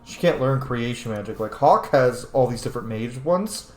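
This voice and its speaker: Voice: medium pitch, lively intonation, moderate pace, darkish tone, echoey room. A video game streamer giving casual let's play commentary, informal and sweary, thinking aloud.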